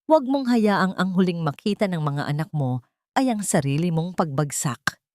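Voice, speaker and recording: A woman's voice: on the low side, expressive, normal speed, warm tone, no room sound, studio quality, natural voice. A woman voicing a cold, mocking male villain who speaks in menacing commands in a radio-style drama. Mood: cold threat.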